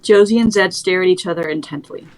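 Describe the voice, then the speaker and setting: Lower-pitched young woman narrating a script. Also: fast, rough recording. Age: young adult. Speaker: stage-direction narrator.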